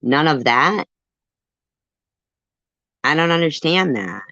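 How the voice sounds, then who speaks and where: A woman's voice: low voice, sing-song, slow, fairly bright tone, no echo. A woman hosting a commentary stream, talking in a defensive, rambling way with lots of fillers. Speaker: commentary channel host. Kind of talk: livestream commentary. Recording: dull dry lo-fi audio.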